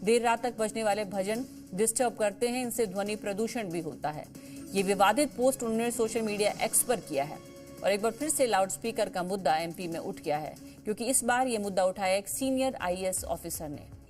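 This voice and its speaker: A female news anchor reports in a live television style at a middle pitch. She restates each point with emphasis, and faint music plays behind her voice. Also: fairly quick, a little mellow.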